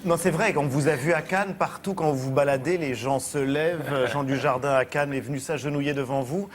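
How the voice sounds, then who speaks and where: A man on a TV talk show panel asking teasing, animated questions. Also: on the high side, a little fast, a little hiss or hum.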